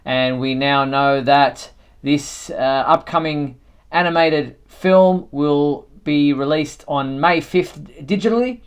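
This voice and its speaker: A man hosts a casual live news chat for fans. His medium-pitched voice moves haltingly through the items, with plenty of fillers and a conversational feel. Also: clear, resonant tone. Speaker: show host.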